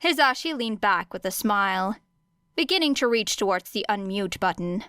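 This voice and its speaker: A woman narrating fiction as an audiobook, with expressive storytelling. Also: medium pitch, normal speed, smooth, dry recording, studio quality.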